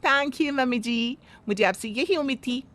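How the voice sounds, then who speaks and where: A woman's voice: high-pitched, measured pace, a bit bright. A woman voicing a spoiled, cheeky young bride who talks back. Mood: sassy. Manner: cheeky, dismissive.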